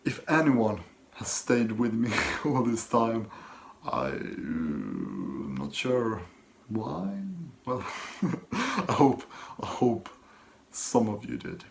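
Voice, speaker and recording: A Swedish man with a Swedish accent, speaking English as his second language, who reads viewer questions aloud and answers them softly and hesitantly from his desk at home. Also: mid-range voice, wildly swooping pitch, extremely slow, vocal fry, slight background noise. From Sweden. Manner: soft-spoken, hesitant, many ums and restarts. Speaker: book channel host. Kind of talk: casual Q&A vlog, reading and answering questions.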